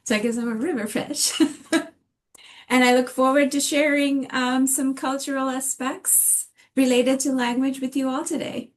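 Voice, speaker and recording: Bright, high-voiced woman from Sri Lanka. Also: fairly even intonation, normal speed. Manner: cheerful, playful. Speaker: panelist. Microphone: video call.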